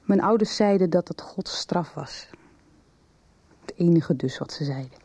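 An actress playing a female teacher in a naturalistic radio drama, confiding gravely with a hesitant, measured, understated delivery. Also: fairly low pitch, dark tone. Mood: subdued, confiding.

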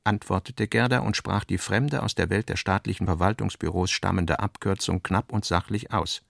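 Guy narrating literary radio piece, deliberate, gravelly voice, very dry close sound. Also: sits low, steady tone, dry recording.

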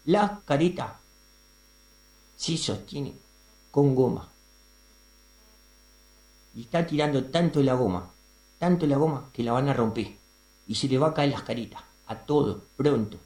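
A man's voice: medium pitch, unhurried, pauses often, a little mellow, echoey room, lightly acted. An Argentine man playing a foul-mouthed, self-important radio editorialist ranting in a comic radio play. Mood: solemn tirade. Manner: pompous, indignant, foul-mouthed orator. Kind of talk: comic radio theatre monologue.

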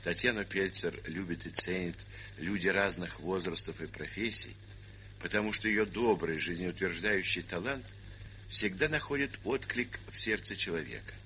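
A man's voice: sits low, moderate pace, sharp tone. A man presenting in a formal, scripted and declamatory literary narration. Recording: hissy, crackly vintage 1970s record.

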